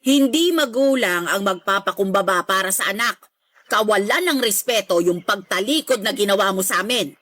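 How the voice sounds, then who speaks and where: A woman's voice: medium pitch, expressive, normal speed, fairly bright tone. An actress playing a bitter, proud middle-aged mother who scolds and accuses, in a Filipino radio drama. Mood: bitter, scolding.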